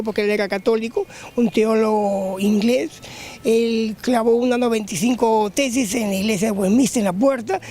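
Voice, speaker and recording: Very high-voiced man explaining slowly. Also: a little mellow, slight background noise. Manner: slow, searching for words. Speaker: street interviewee. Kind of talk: impromptu explanation.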